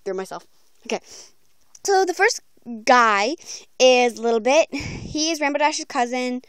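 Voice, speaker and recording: Young female vlogger, very high smooth voice, informal and rambling, recorded close and dry on a phone. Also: expressive, a little slow, no echo. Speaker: video creator announcing voice auditions. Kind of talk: casual chatty vlog. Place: small room at home, bedroom. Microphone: handheld phone, close by.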